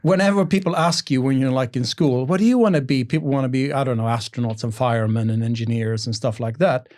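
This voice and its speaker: A man's voice: medium pitch, fast talker, darkish tone. A man talking as a podcast guest in an upbeat, enthusiastic way about his own work. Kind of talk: casual interview chat.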